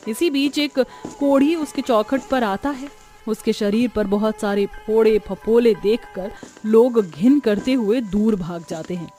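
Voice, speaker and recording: A female narrator tells a scripted cartoon story. Her voice is somewhat high. Soft music runs underneath. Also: a little slow.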